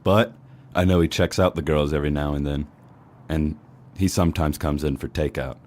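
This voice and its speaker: A man's voice: extremely low pitch, fairly even intonation, moderate pace, a little mellow. A man playing a menacing snowman mob hitman in a noir comedy audio drama, speaking in formal gangster style. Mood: grudging confession. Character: menacing snowman mob hitman, turning fearful when cornered.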